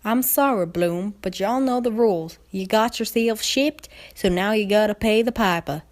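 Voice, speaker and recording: A woman's voice: mid-range voice, moderate pace, lightly acted. A woman voicing a grown country mare, a stern, resigned older sister in a cartoon fan story. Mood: stern, regretful.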